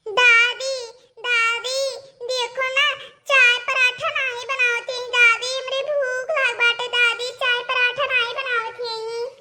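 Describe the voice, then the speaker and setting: Whiny little awadhi cartoon boy, hungry grandson, really squeaky high. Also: level tone, unhurried, sharp tone, exaggerated voice. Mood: whining, tearful. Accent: Awadhi, eastern Uttar Pradesh. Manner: whining, insistent. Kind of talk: Awadhi family cartoon voice acting.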